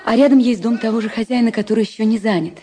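A woman's voice: fairly high pitch, racing, warm tone, a faint crowd, close to an everyday voice. An actress playing a jealous, lovesick society woman in a Russian radio drama, intimate and pleading.

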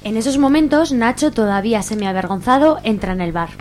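A woman's voice: a little high, a little fast, noisy background. A woman reading scripted narration for a radio soap, with music behind her. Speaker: narrator of a community radio serial.

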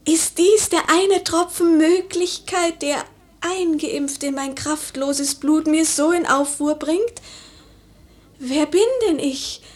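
A woman's voice: high-pitched, steady tone, slow pace, a little mellow. A woman playing a haunted noblewoman in a poetic radio play, dreamy, overwrought and declamatory. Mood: feverish, agitated. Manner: declamatory, breathless whispers rising to agitation. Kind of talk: poetic theatrical radio monologue.

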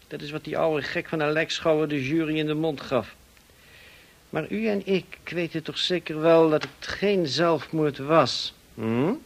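An actor in a radio play playing a smug, insinuating blackmailer with a mocking, drawling, falsely polite manner. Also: male voice, a little high. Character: smug, insinuating male blackmailer, cousin of the dead woman.

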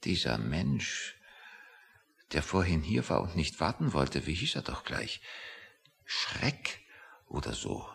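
A man's voice: very deep voice, big pitch swings, takes it slowly, gravelly, pauses often, a bit bright, heavily acted. An actor in a satirical radio play playing a pompous, fretful male civil servant with a querulous, suspicious manner. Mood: brooding, suspicious.

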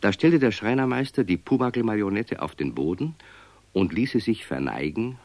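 A man narrating a children's radio play as an expressive storyteller, reading from a script. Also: on the low side, normal speed, no echo.

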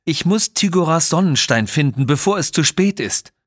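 Mann spielt einen ernsten jungen Inder, hellere Stimme. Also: male voice, a little high, animated, normal speed, dry recording, studio quality, voice pushed up. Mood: desperate, pleading. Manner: earnest, pleading. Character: brave young Indian jungle guide, earnest and anxious.

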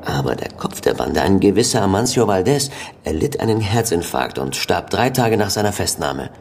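A man's voice: low voice, big pitch swings, moderate pace, creaky, darkish tone, slight background noise, heavily acted. An actor playing a matter-of-fact policeman giving a clipped, official report in a radio drama. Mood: factual, speculating. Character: matter-of-fact male police colleague briefing a detective.

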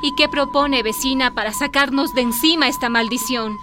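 Radio drama community leader played by a woman: encouraging woman, high voice, music underneath. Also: normal speed, natural voice. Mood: puzzled, questioning. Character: encouraging village woman chairing an assembly. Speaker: meeting leader.

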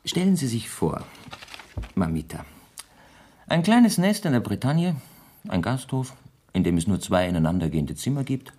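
Radio drama casting: rich bachelor, slightly rough husky voice, animated melody, aggrieved yet wry. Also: male voice, fairly low pitch, moderate pace, pauses often. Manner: theatrically put-upon, wryly teasing. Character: worldly, wry, aggrieved wealthy Parisian bachelor. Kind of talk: 1970s German radio play acting.

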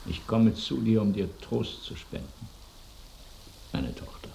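An actor in a radio play voicing the gently consoling, gravelly ghost of a dead father. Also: lowish voice, animated, unhurried, a little hiss or hum. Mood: tender, ghostly. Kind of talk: radio drama acting.

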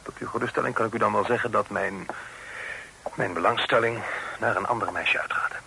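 Bright-voiced, frank young gentleman. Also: fairly low pitch, fairly quick, gravelly. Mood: frank, reserved. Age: young adult. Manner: formal, frank, slightly impatient. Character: upright young gentleman, polite but frank, harried. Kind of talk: vintage Dutch radio drama acting. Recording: noisy old radio play recording.

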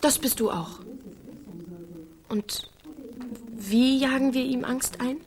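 A woman's voice: high-pitched, slow pace, a little hiss or hum. A young woman playing a manipulative village teenage girl in a naturalistic radio drama, needy, coaxing and bent on revenge. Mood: vengeful. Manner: insistent, coaxing.

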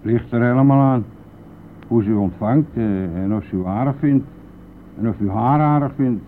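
An actor playing a boastful, brash and talkative bus driver in a radio play, with a blustery, colloquial manner. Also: male voice, medium pitch, moderate pace, close to an everyday voice. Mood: chatty. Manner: blustery, colloquial, mildly swearing. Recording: narrow phone-like old radio recording, faint noise.

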